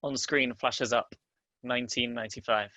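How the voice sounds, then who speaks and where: A man's voice: mid-range voice, fairly even intonation, moderate pace, bright, forceful tone, dry recording. A man reading stage directions as scripted narration at a table read.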